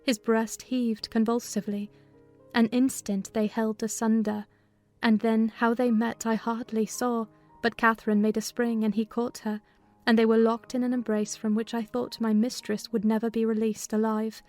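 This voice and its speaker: A woman reads as the narrator of a literary audiobook. Her voice is fairly high and stays even in pitch, measured in its storytelling, with faint music underneath.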